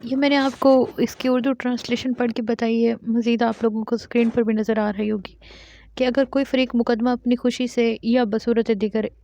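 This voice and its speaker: A woman teaching law, reading each statute out slowly, word by word, and then explaining it in plain terms. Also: on the high side, level tone, dark tone, muffled recording. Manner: reads English legal text slowly word by word, then explains in Urdu. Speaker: law lecturer.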